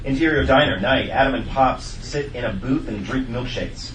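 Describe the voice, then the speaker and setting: A man's voice: medium pitch, normal speed, a bit bright. Guy reading script directions at a table read, mid voice, echoey room. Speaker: reader of stage directions. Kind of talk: scripted narration at a live comedy table read. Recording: echoey room, distant mic, background noise.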